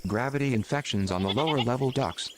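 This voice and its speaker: A man playing a literal, unflappable station computer in a sci-fi audio drama, formal, clipped and deadpan. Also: medium pitch, brisk, very noisy, close to an everyday voice. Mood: deadpan, factual. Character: literal, unflappable male station computer, ancient library archive.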